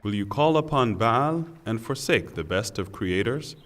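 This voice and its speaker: A man reading a scripture translation in a solemn, formal, deliberate way, with pauses. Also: fairly low pitch, animated, a bit bright. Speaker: translation reader. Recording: long hall reverb.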